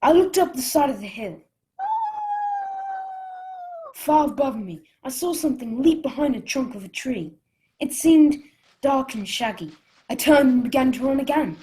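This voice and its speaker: Woman as boy adventurer, animated storytelling, fairly high, radio play narration, faint noise. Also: moderate pace, poor audio. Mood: breathless, alarmed. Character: excitable boy adventurer telling his tale.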